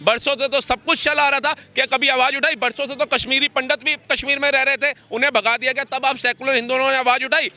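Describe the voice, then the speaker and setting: A man with a very high, piercingly bright voice makes his case in a street interview. He is combative and emphatic, pressing his points with one rhetorical question after another. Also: steady tone, fast, hardly any pauses. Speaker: interviewee giving political opinions. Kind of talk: impassioned street interview argument.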